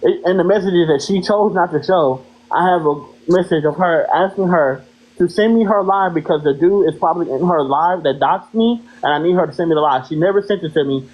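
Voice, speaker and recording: A woman with a deep voice, a live-stream guest talking bluntly and indignantly, with plenty of profanity. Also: brisk, highs cut off. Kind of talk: heated live-stream talk.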